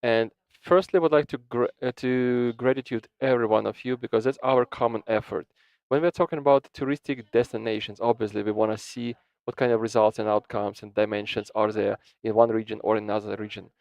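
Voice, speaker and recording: A man interpreting a panel discussion into English live, halting often, repeating words and restarting his sentences. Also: fairly low pitch, steady tone, gravelly, darkish tone, no room sound. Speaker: simultaneous interpreter. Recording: dull, dry lo-fi sound.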